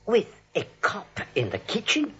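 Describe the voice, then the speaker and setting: An actor playing an anxious, pleading, weaselly doctor sidekick in a raspy voice, in a 1940s radio comedy. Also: male voice, sits high, wildly swooping pitch, a little slow, pushed and bright, exaggerated voice. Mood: nervous. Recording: dull lo-fi old radio broadcast.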